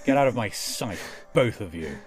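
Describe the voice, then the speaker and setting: A man's voice: on the low side, animated, normal speed, soft background music, natural voice. A man playing a cruel, contemptuous royal father in a fantasy audio drama, speaking with haughty, archaic theatricality. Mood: cold scorn.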